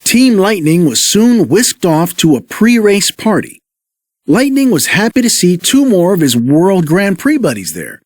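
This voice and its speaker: Guy narrating a kids read along, unhurried, super expressive, dry close sound. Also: high voice, dry recording. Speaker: storybook narrator.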